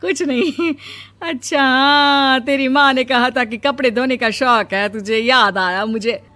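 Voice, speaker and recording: Woman voicing a cartoon mother-in-law, middle-aged, haughty, scolding, fairly high voice. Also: steady tone, measured pace, sharp tone, soft background music.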